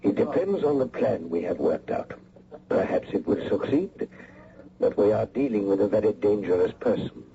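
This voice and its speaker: A muffled vintage radio mystery with faint hiss presents a suave male spymaster. He phrases things formally and menacingly in a very dark, gravelly voice. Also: on the low side, lively intonation. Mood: ominous, calculating. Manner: formal, measured, courteous phrasing. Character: suave, menacing spy handler, formal and deliberate. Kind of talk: radio mystery drama acting. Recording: muffled, noisy old radio broadcast.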